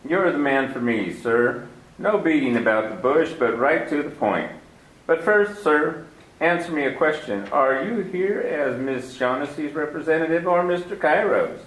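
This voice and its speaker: A florid, scheming crook full of formal flattery. His pitch swings widely as he purrs and wheedles. The radio play has a roomy echo around him. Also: moderate pace. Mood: smooth, scheming. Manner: florid, flattering, overly formal with many sirs. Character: fat genial crook, wordy and scheming, middle-aged man. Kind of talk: 1940s radio acting.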